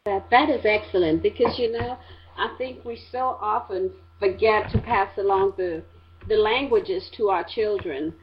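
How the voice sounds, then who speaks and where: A woman's voice: medium pitch, measured pace. A woman from Saint Lucia hosting a radio interview, encouraging her guest as she asks questions. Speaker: radio show host. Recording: dull lo-fi radio sound.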